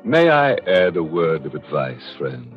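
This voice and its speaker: A man's voice: extremely low pitch, wildly swooping pitch, unhurried, faint music behind. A man hosting a 1940s radio horror show in a macabre, tongue-in-cheek manner, on a dull old recording. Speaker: host.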